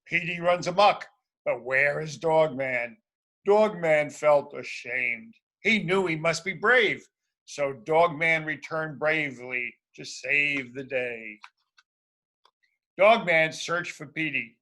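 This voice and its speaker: A man reading a storybook aloud to schoolchildren in a deliberate, emphatic, expressive way. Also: a little high. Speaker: children's storybook reader.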